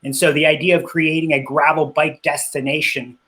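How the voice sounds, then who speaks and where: A man's voice: fairly high pitch, level tone, normal speed, bright, forceful tone. Man speaking with heavy filler hesitation. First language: Spanish. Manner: hesitant, many fillers and restarts. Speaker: interview guest, cycling business owner. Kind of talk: casual interview talk.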